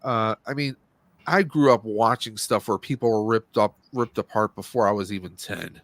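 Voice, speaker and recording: A man's voice: fairly low pitch, normal speed, fairly bright tone, no echo. A man hosting a casual movie review podcast, speaking in a blunt, opinionated and rambling way. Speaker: podcast host.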